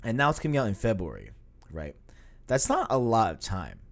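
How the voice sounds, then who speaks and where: A man's voice: on the low side, brisk. A man in his early twenties, hosting a casual podcast and rambling with lots of filler. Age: 20s. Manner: rambling, animated, repeats "you know what I'm saying". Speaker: podcast host.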